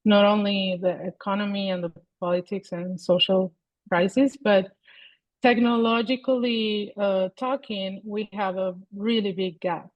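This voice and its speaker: A Venezuelan woman in her forties speaks over a video call, thinking aloud and often circling back to restart a phrase. Her voice sits at an ordinary pitch and is captured close and dry, with no room behind it. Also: steady tone, dry recording, lo-fi sound. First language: Spanish. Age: 40s. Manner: thoughtful, halting, many restarts and fillers. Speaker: guest artist.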